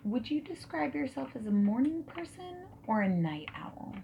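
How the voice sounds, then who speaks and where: Woman as friendly matchmaking interviewer for ASMR roleplay: soft, whispery, creaky voice, gentle questions, audible background noise and typing. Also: high-pitched, sing-song, full character voice. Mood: friendly, curious. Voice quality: breathy, often slipping into whisper. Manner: gentle, unhurried, reassuring, asking questions. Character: friendly, nonjudgmental dating service interviewer.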